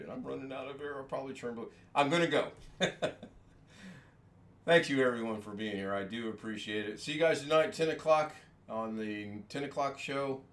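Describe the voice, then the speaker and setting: A man's voice: fairly low pitch, slight background noise. A man hosting a casual home livestream, rambling from topic to topic, making fun of himself and chuckling often. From United States. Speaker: livestream host. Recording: echoey room with faint ambience.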